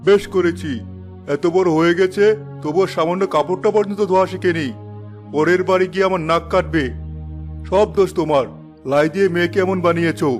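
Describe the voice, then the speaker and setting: A man plays a tyrannical cartoon father with a high voice. He is harsh, accusing and domineering, scolding his family over faint music. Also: steady tone, fairly quick, natural voice. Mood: angry, scolding. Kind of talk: cartoon dub acting.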